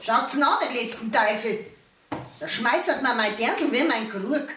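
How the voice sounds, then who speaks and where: A woman's voice: on the high side, lively intonation, sharp tone, reverberant, slight background noise. Indignant, scolding Bavarian peasant mother. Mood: indignant, stubborn. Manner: scolding, emphatic, drawn-out. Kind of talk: 1970s dialect radio play acting.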